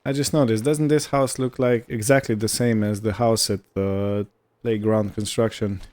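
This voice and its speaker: A man's voice: lowish voice, steady tone, moderate pace, a little mellow. A man giving casual roleplay gameplay commentary, musing aloud as he narrates what he is doing, with faint vehicle and ambient sound behind him. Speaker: gaming video host.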